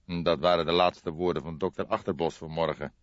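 A brooding older police detective played by a male reader in a crime audiobook. He is deep-voiced and weighs his words with formal care. The recording is very dry and a little dull. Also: fairly even intonation, no room sound, lightly acted. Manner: deliberate, formal, ponderous. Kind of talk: audiobook character dialogue.

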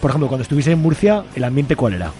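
A man's voice: mid-range voice, big pitch swings, racing, mellow, faint music behind. A man with a Spanish accent from Spain hosting a casual radio interview in an informal, chatty way. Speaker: radio show host interviewing guests.

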